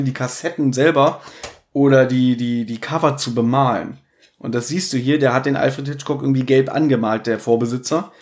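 A man co-hosting a podcast, chatting and bantering casually about audio dramas. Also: medium pitch. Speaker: podcast host.

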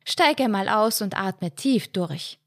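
A woman's voice: medium pitch, expressive, measured pace, dry recording, studio quality. A woman with an Austrian accent voicing an older mother who fusses over her grown son with loving sternness. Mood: fussing concern. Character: older mother, tender but firm, fussing.

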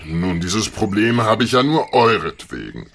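A man's voice: lowish voice, sing-song, unhurried, vocal fry, fairly bright tone, a little hiss or hum, heavily acted. A man voicing a politely aggrieved dragon in a German fairy-tale audio drama. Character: grumbling but courteous male dragon seeking peace.

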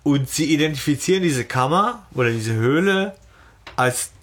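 Husky-voiced man explaining casually. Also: on the high side, expressive, moderate pace, a bit bright. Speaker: podcast co-host. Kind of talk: casual fan podcast discussion.

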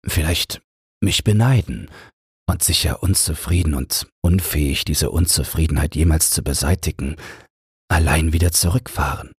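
An earnest, self-justifying young fiancé in a literary audiobook, acted by a male narrator. The voice is low and very husky, stubborn in manner, in a dry recording. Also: moderate pace, no room sound, exaggerated voice. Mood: hesitant, explaining.

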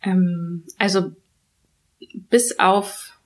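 A young woman talking reflectively in a casual conversation, pausing often with fillers and restarting her sentences. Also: on the low side, steady tone. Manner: thoughtful, many fillers and restarts, laughs when quoting others.

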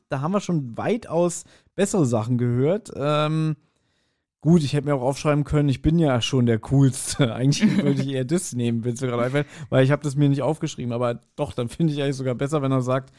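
Casual man, mid-pitched podcast co-host. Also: brisk, dark tone. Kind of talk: casual review chat about an audio drama.

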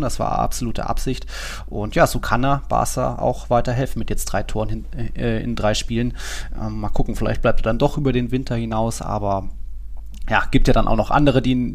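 Man, creaky gravelly voice, mid pitch, casual football analysis, colloquial, long run-on explanations, podcast pundit.

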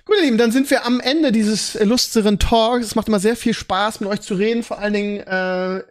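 High-voiced, fast-talking male host. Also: steady tone. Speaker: podcast host. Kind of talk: casual podcast chat.

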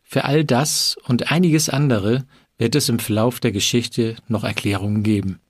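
A man's voice: mid-range voice, fairly even intonation, moderate pace. A man giving a friendly scripted introduction to an audio drama, addressing the listeners.

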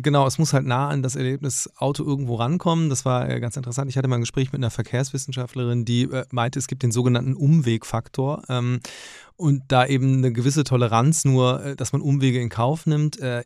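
A man's voice: medium pitch, vocal fry, darkish tone, no room sound, clean studio sound. A man hosting a podcast, asking long, searching questions with many fillers. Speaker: podcast host. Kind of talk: interview questions.

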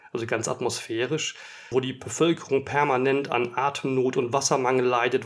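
Guy hosting a podcast, medium voice, explains stuff in long neat sentences. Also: mid-range voice. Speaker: podcast host. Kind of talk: podcast conversation with book review.

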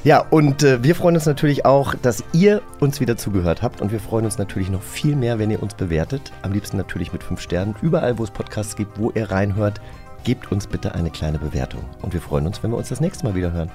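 A man's voice: on the low side, animated, brisk, darkish tone, soft background music. A man co-hosting a podcast in casual conversation, talking in a chatty, enthusiastic way. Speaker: podcast co-host. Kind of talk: casual chat.

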